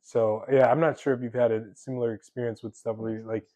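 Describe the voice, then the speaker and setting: A man's voice: mid-range voice, fairly even intonation, fast talker, mellow, dry recording. Young male podcast host, conversational, dry close sound, filler-heavy delivery. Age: 20s. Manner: chatty, many fillers, laughs easily. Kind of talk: casual conversation.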